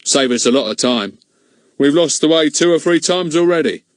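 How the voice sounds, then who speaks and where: A man's voice: on the high side, animated, normal speed, dry recording, pitched above the performer's own voice, lightly acted. A man voicing a pushy adult male driver in a storybook reading. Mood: coaxing.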